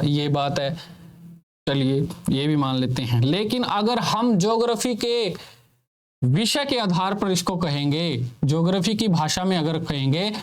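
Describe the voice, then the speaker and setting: A male teacher gives a slow, unhurried lecture. His voice sits a bit high for a man. He repeats each point and keeps asking his students questions before he answers them.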